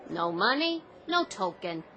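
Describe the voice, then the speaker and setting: Brusque, animated ticket clerk. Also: female voice, medium pitch, unhurried, muffled recording. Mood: brusque, impatient. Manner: curt, dismissive, colloquial. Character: brusque, no-nonsense female subway token clerk. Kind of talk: old-time radio drama acting. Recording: music behind radio drama dialogue.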